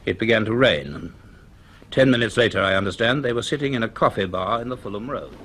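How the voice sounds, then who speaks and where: A man playing a grave, curious middle-aged university professor in a radio drama, speaking in a measured, formal, authoritative way. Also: lowish voice, expressive, a bit bright, breathy edge, heavily acted. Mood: measured narration. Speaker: actor and narrator in a radio drama. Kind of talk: radio drama acting and first-person narration.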